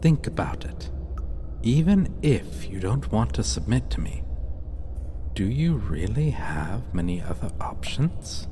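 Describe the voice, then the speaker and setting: A man playing a possessive, mocking vampire in a gothic ASMR roleplay, murmuring teasingly and condescendingly with breathy whispers. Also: lowish voice, very animated, slow pace, warm tone, a little hiss or hum. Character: psychotic, possessive male vampire, mocking and seductive.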